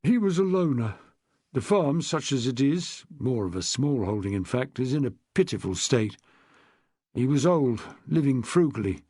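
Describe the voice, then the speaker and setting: A man's voice: mid-range voice, big pitch swings, normal speed, darkish tone, husky, no echo, studio quality. A man voicing a guarded middle-aged policeman who answers in terse, clipped lines. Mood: grim.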